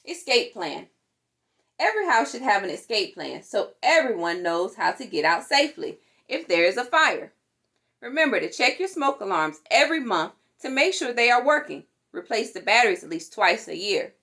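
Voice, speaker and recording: A woman reading a children's safety book aloud in an animated, instructive manner. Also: a little high, moderate pace. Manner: animated, instructive, acts out sound words. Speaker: storytime reader.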